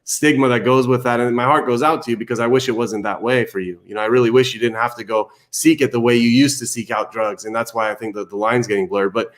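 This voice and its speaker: A man from Iran speaking as a family therapist, giving empathetic advice in a halting, reassuring way, often repeating words. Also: mid-range voice, steady tone.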